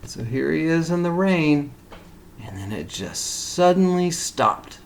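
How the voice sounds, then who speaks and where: A man reading a picture book aloud to young children, expressively and with deliberate phrasing. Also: on the high side, very animated, gravelly, a little hiss or hum. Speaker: storybook reader for kindergarten children. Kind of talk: read-aloud storytelling with short asides.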